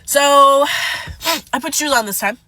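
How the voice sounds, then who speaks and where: A young woman sounds stuffed-up from a cold. She tells her story in an animated, sarcastic way and leans into the drama. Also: high voice, unhurried, background noise. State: sick, congested. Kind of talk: storytime vlog.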